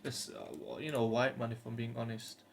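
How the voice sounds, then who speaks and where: A man's voice: medium pitch, very animated, fairly quick, gravelly. A British man in his twenties talking casually and earnestly to camera, hesitant and rambling, with faint background noise. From United Kingdom. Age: 20s. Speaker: vlogger.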